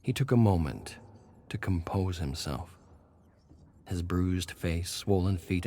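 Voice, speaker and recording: A man with a low voice delivers dramatic horror narration. His reading is deliberate and ominous, over faint background noise. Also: a little mellow.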